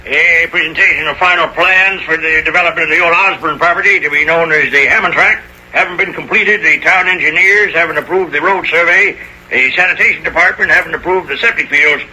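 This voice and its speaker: A man's voice: on the high side, brisk, sharp tone, breathy edge, heavily acted, poor audio. A man playing an officious, dismissive town meeting chairman, formal and bureaucratic, in a radio drama. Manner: formal, bureaucratic, reading out procedure. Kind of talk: 1970s American radio drama acting.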